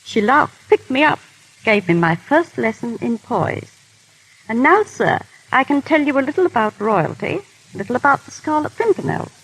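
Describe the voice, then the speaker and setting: A woman's voice: a little high, very animated, moderate pace, a bit bright, slightly rough, low-quality recording. A woman guest telling genteel, formal anecdotes in a scripted 1930s radio interview. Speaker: guest technical advisor and former royal dresser. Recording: vintage 1930s radio broadcast.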